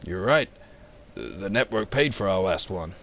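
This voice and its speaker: Gruff middle-aged expedition leader, man voicing him. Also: low-pitched, animated, moderate pace, fairly bright tone, a little hiss or hum, voice pushed down, full character voice. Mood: blunt. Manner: blunt and weary. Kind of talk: comic book voice acting.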